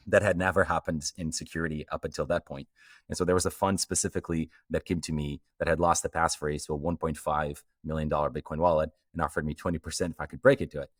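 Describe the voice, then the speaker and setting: Middle-aged guest from Portugal, very deep voice, dry sound, relaxed storyteller. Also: male voice, no echo. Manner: fluent storytelling, fillers like um. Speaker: guest, company co-founder. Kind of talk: conversational interview answers.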